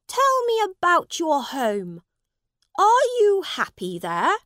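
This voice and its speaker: Woman playing storybook boy prince, lordly, eager, very high, slow and emphatic, dry close sound. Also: wildly swooping pitch, a bit bright, no room sound, full character voice. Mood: lordly, generous. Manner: emphatic, imperious, drawn-out words. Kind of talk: storybook character voice.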